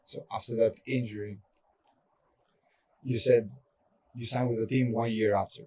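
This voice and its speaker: A man's voice: fairly low pitch, flat delivery, moderate pace, a little mellow, slightly rough. A man hosting a casual podcast interview, asking conversational, leading questions. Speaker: podcast host.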